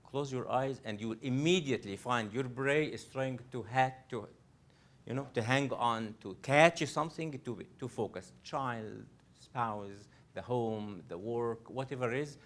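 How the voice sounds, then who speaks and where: A man's voice: medium pitch, a little slow, a bit bright. A man from Egypt, a coach presenting a live workshop to an audience. He speaks earnestly, often restarting and repeating phrases as he addresses the room directly. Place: library event room.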